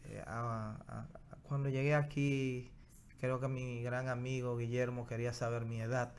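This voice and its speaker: Guest spot: man, 30s, halting and filler-heavy, mid-pitched, faint hum behind. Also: flat delivery. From Dominican Republic. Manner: hesitant, many fillers and restarts. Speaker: guest journalist. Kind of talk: casual interview chat. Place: small TV studio with backdrop. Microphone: studio mic on stand.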